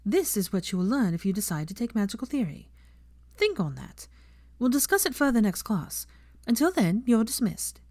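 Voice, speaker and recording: Woman playing a young teacher, pitched up and projecting. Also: big pitch swings, normal speed, dark tone, no echo, clean studio sound. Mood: brisk, instructive. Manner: projected, instructive, questioning. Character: young woman teacher, brisk, earnest, encouraging classroom lecturer.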